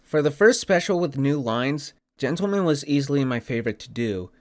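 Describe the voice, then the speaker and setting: A man's voice: mid-range voice, animated, moderate pace, a little mellow. A man giving scripted narration as a voice actor presenting his own work. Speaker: voice actor and video creator. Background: music.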